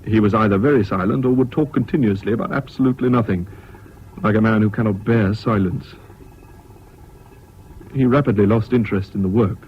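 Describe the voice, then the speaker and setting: An actor playing a sober army officer narrating in a measured, matter-of-fact way in a 1970s radio drama. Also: male voice, fairly low pitch, lightly acted. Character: sober English army officer recounting events. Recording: dull, noisy old radio recording.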